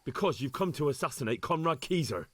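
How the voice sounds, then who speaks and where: Bold, expressive young man-at-arms. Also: a little high, fast talker, husky. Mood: accusing. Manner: direct, confident, no-nonsense. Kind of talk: dramatic video game acting.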